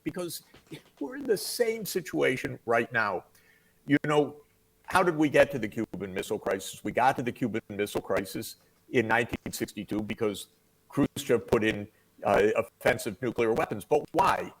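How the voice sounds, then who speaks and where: A man explaining history at length in a measured, lecturing way, heard in a played clip. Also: medium pitch, expressive, rough recording. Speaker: commentator in a played interview clip.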